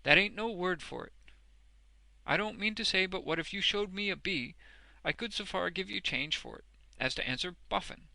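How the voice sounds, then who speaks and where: A man's voice: high-pitched, moderate pace, vocal fry, pushed and bright, no echo, voice pushed up, heavily acted, rough recording. A man voicing a hearty, uneducated old working man in a storybook, blunt and exuberant, with emphatic, ungrammatical speech.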